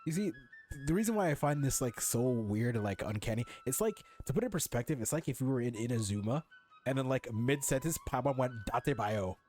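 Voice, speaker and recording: A young man gives casual gameplay commentary in a medium-pitched voice. He is profane, joking and incredulous, with faint game music behind him. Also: expressive, brisk, darkish tone. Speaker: game streamer.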